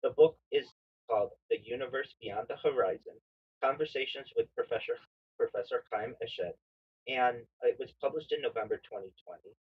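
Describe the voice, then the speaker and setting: A man streaming a casual explainer monologue, halting with frequent fillers and restarts. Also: mid-range voice, breathy edge, phone-quality sound. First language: not Hebrew.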